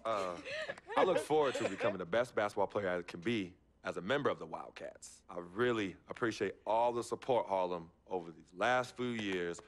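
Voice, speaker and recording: A young actor playing an easygoing high school basketball star who talks in street slang in a sports game cutscene. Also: male voice, moderate pace, natural voice. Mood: speech. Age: young adult.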